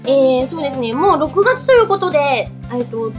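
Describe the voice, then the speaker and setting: Super high girlish-pitched woman radio host, lively intonation, music playing behind her. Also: brisk. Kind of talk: casual radio chat. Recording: light music bed under the voice. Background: background music.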